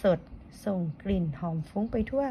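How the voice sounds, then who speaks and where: A woman reading a picture book aloud to a child, telling it slowly and clearly with playful asides. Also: medium pitch, animated, mellow. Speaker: storyteller. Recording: dull lo-fi with faint noise.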